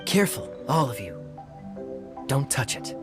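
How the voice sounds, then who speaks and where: A suave young knight speaks slowly at a middling pitch, with a playful, swooping lilt. The teasing game dialogue sits over background music. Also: male voice, big pitch swings. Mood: teasing, wry. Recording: music behind the voice.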